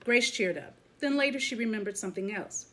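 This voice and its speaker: A woman reading a children's picture book aloud as a teacher would, expressively, pausing to comment to young listeners. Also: on the high side, pushed and bright, low-quality recording.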